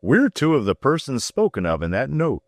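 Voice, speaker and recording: A man voicing a fussy, excitable older gentleman given to odd exclamations. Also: medium pitch, moderate pace, no room sound, clean studio sound, pitched above the performer's own voice.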